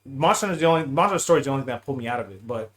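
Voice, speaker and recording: A man's voice: medium pitch, expressive, very fast, fairly bright tone. A man chatting casually as a podcast guest, slangy and repetitive, often saying bro and man. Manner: slangy, repeats himself, peppers talk with bro and man. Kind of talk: casual gaming chat.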